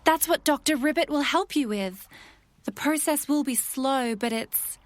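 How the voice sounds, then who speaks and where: A woman voicing a caring, encouraging animal friend in an audio drama, with an expressive delivery. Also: high-pitched, unhurried, no echo.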